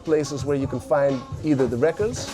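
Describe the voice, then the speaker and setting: A middle-aged man from the Netherlands with a Dutch accent, telling stories in a casual interview, relaxed with many fillers. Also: mid-range voice, moderate pace. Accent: Dutch, Amsterdam. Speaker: guest rapper. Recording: music playing behind.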